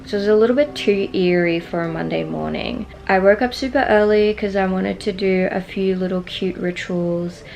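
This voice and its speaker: A young woman from Singapore with a strong Australian accent, narrating her vlog in a relaxed, chatty way full of "like". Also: medium pitch, moderate pace, gravelly, hardly any pauses, rough recording. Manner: relaxed, chatty, many "like" fillers. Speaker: vlogger. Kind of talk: casual voice-over narration.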